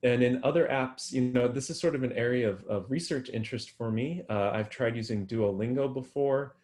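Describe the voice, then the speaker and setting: A man's voice: medium pitch, brisk, darkish tone. A man answering interview questions about his research, thoughtful and full of ums and restarts. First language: English. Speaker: guest researcher.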